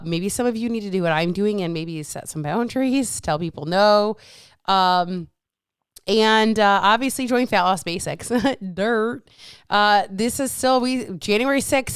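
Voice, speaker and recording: A woman's voice: mid-range voice, clear, resonant tone, no room sound, clean studio sound. A woman hosting her own podcast as a fitness coach, ranting bluntly with lots of swearing, a little congested with a lingering cough. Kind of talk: casual solo podcast rant.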